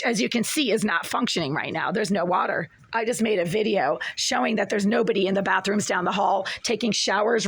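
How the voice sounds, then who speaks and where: A woman with a hoarse, strained voice, filming a long complaint in her apartment kitchen on her phone, going over her grievances in detail and repeating herself. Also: mid-range voice, a bit bright. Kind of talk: complaint video filmed at home.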